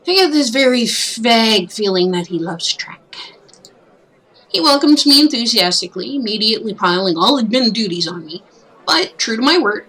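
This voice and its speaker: A woman reading a story game aloud in an expressive, theatrical narrating style. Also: mid-range voice, husky, poor audio. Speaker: streamer reading a visual novel aloud.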